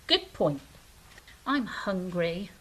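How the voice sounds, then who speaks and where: A woman's voice: lowish voice, sing-song, drawn-out words, fairly bright tone, full character voice, rough recording. A woman playing a flippant, restless, ever-hungry angel in a comic audio drama, wry and petulant.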